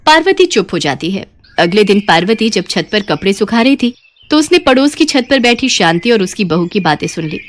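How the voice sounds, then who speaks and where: A woman's voice: medium pitch, very animated, normal speed. A woman telling a moral cartoon story as a scripted narrator.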